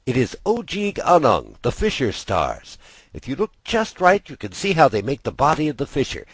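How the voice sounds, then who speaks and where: A man's voice: medium pitch, huge pitch swings, moderate pace, slightly rough, no echo, pitched above the performer's own voice, exaggerated voice. A man voicing an eager, knowing young boy who explains things in a storybook. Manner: keen, knowing, emphatic. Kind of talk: storybook character voice.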